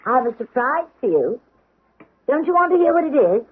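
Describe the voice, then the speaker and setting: An actress playing a middle-aged daughter, solicitous but insistent, coaxing and probing in a 1970s radio drama. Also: female voice, sits high, sing-song, brisk, slightly rough, exaggerated voice. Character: solicitous, insistent grown daughter pressing her aged mother. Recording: muffled, phone-like old radio broadcast.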